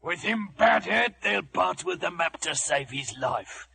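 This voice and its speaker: An actor playing a wily one-legged pirate captain in an audio drama, with a growling English West Country accent and sly, theatrical speech. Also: male voice, sits high, lively intonation, measured pace, sharp tone, full character voice. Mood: commanding, angry. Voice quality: gravelly, growling. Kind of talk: dramatized adventure audio play.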